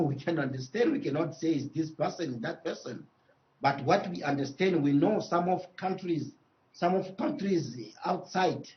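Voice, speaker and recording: Higher-pitched Congolese man, emphatic. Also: dull audio. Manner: emphatic, repeats phrases, halting second-language phrasing. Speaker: traditional leader, interview guest. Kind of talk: live news interview.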